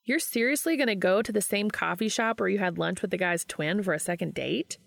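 A woman's voice: medium pitch, fairly quick, creaky, dry recording, clean studio sound, natural voice. A woman voicing a young man, a wry, grudging but protective friend in a story.

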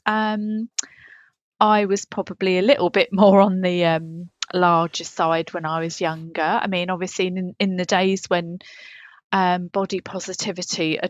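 A woman's voice: fairly low pitch, no room sound, highs cut off, lightly acted. A woman playing a saucy middle-aged podcaster who chats agreeably and hesitantly, matter-of-fact about smut. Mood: agreeable, reflective. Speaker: podcast co-host. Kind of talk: comic character chat.

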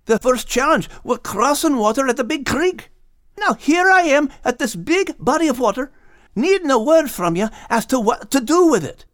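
A man's voice: very high-pitched, very animated, normal speed, a bit bright, no echo, clean studio sound, voice pushed up, heavily acted. A man voicing an earnest, plucky male dog with a Scottish accent and folksy dialect in an audiobook. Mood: pleading, perplexed. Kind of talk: audiobook character acting.

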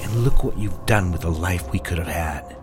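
A man's voice: low-pitched, normal speed, slight background noise, close to an everyday voice. An actor playing a controlling, condescending husband in a dramatic audio drama, patronizing as he moves between cooing and scolding. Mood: accusing.

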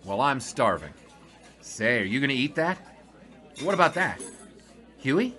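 An actor playing an eager, glib young sports reporter in a 1920s period audio drama, with a wisecracking, playfully formal manner. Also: male voice, medium pitch, very animated, measured pace, sharp tone. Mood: breezy, wry.

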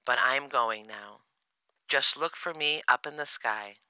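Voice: medium pitch, measured pace, pushed and bright, clean tone, no echo, rough recording. A narrator voicing a cheerful, eager little boy bear cub in a children's storybook. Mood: determined. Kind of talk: children's storybook character reading.

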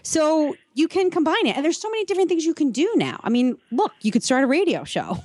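A woman's voice: high-pitched, big pitch swings, quick pace, darkish tone, dry recording. A woman co-hosting a casual podcast conversation, explaining things in a practical, matter-of-fact way. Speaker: podcast co-host.

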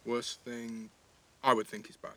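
A man's voice: mid-range voice, level tone, a little slow, pushed and bright. An actor playing a cheeky, blunt teenage boy with an English accent, teasing and slangy in a naturalistic audio drama. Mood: wry, probing.

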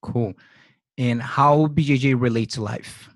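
A man's voice: medium pitch, measured pace, no echo. Male podcast host, dry sound. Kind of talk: interview questions and intro.

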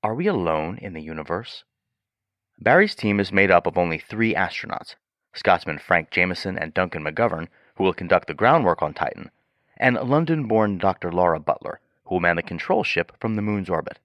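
An actor playing a sober news reporter, delivering a measured, scripted report on a space mission. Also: male voice, low-pitched, very animated, a bit bright, dry recording. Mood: grave, measured.

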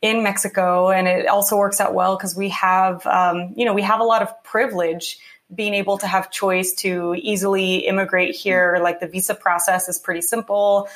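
A young woman from California talks through her own story as a podcast guest. Her tone is reflective and unhurried, and she often pauses on fillers and restarts her sentences. Her voice sits at a middle pitch. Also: level tone, fairly bright tone. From California, USA. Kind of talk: casual interview.

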